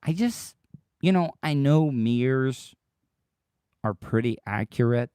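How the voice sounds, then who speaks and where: A man hosting his own podcast livestream, rambling and repeating himself as he talks straight to his listeners, his voice worn deeper than usual by tiredness. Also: expressive, slow pace, warm tone, smooth, no echo, clean studio sound. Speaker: podcast host. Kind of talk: casual solo livestream monologue.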